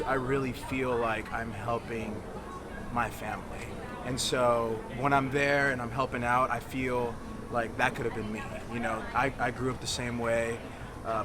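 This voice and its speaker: A young man from Mexico, a native Spanish speaker, talking earnestly and haltingly as a volunteer, with many restarts. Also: mid-range voice, background noise. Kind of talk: casual testimonial.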